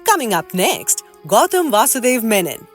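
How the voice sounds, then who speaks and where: A woman's voice: fairly high pitch, very animated, normal speed. A woman reading a scripted promo voice-over with punchy, emphatic delivery. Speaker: promo announcer. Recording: voice over loud music.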